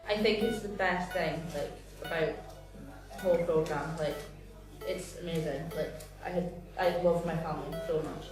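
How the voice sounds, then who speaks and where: A woman's voice: low voice, fairly even intonation, normal speed, over music. A woman of nineteen chatting in interview answers, full of "like". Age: late teens. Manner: chatty, lots of "like". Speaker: returned volunteer. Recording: echoey room from far off.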